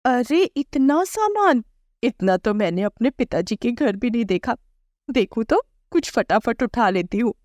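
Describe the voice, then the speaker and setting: A woman's voice: fairly high pitch, very animated, unhurried, a little mellow, no echo, studio quality. A woman voicing a sly, envious young daughter-in-law in a moral story, by turns sugary and scheming. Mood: greedy.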